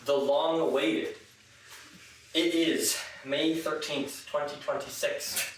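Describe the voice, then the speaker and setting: A young man from Ottawa hosting a casual podcast, playful and quick to laugh. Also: on the high side, steady tone, roomy sound, poor audio. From Ottawa, Canada. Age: young adult. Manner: playful, laughs often, slangy. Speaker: podcast host.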